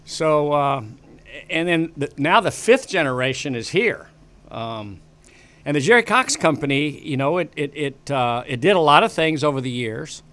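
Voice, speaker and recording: A man's voice: fairly high pitch, animated, normal speed, sharp tone. An older man from the western United States, a studio guest telling long stories in a casual, rambling way with many restarts, speaking into a desk mic. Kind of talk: casual interview storytelling. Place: small studio with bookshelves. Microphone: desk broadcast mic on boom arm.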